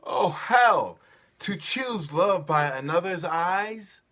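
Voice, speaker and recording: Guy doing a lovestruck defiant young shakespeare maiden, earnest, slow, swoopy delivery. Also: sits high, animated, fairly bright tone, voice pushed up, exaggerated voice. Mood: anguished. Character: young woman in love, pleading yet defiant. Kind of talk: theatrical Shakespearean stage reading.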